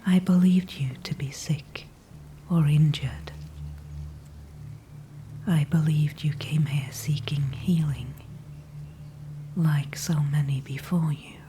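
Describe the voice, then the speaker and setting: A woman voicing an ancient, calm and wise female tree spirit in an ASMR audio roleplay, speaking only in a soft whisper with formal, old-fashioned phrasing. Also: low-pitched, slow pace, pauses often, slight background noise. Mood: calm, knowing. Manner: soft unvoiced whisper throughout.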